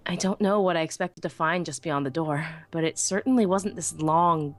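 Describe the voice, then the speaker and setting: A woman narrating a scripted first-person story dramatically, as in a fan audiobook. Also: low voice.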